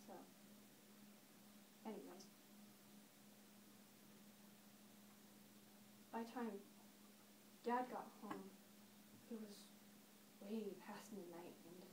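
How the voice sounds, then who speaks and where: A woman playing an upset woman recalling her childhood in a dramatic stage monologue, emphatic and with deliberate pauses. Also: mid-range voice, a little mellow, lightly acted. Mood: subdued. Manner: emphatic, storytelling with deliberate pauses and swelling stress. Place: echoey indoor room, recorded from a distance. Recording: echoey room, distant mic, noisy.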